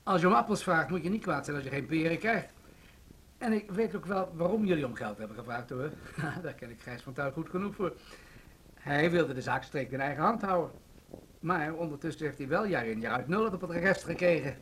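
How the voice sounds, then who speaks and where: An actor playing a jovial, evasive middle-aged government official in a radio play, bantering and slightly patronising. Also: male voice, fairly high pitch, animated, brisk, fairly bright tone. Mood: breezy, explaining. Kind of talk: Dutch radio play acting. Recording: noisy old radio drama recording.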